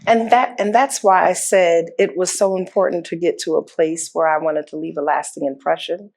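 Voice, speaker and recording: A woman's voice: lowish voice, fairly even intonation, normal speed, gravelly, a little mellow. A woman mayor talking openly as a guest in an interview, earnest and often repeating words as she goes. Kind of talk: candid interview talk.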